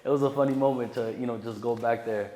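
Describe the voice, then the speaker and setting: A man's voice: medium pitch, steady tone, fast talker, dark tone. Laid-back man in an echoey room. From Puerto Rico. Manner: laid-back, many "you know" fillers. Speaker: podcast guest, tax preparer. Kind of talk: casual chat. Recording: roomy echoey hall.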